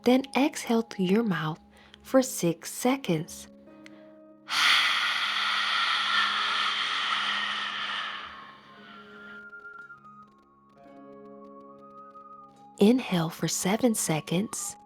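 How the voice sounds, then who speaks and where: A woman leading a scripted guided meditation as an instructor, in a soothing, measured, softly breathy delivery. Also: mid-range voice, lively intonation. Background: soft background music.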